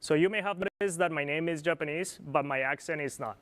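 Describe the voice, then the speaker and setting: High, smooth Colombian-accented man presenting. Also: a bit bright. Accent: Colombian Spanish. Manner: measured, informative, occasional slips. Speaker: product planner. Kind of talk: scripted corporate presentation.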